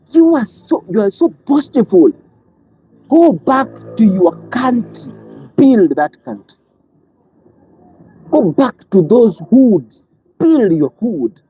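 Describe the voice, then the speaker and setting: A commentator giving an impassioned monologue. The speaker is preachy, asks rhetorical question after question, and swings between loud outbursts and low pleading. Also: slightly rough, dry recording, low-quality recording. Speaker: online commentator.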